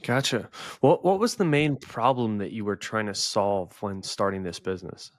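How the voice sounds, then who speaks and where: A man's voice: fairly low pitch, sing-song, normal speed, dry recording, studio quality. A man hosting an interview, reacting briefly and asking short questions. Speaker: interview host.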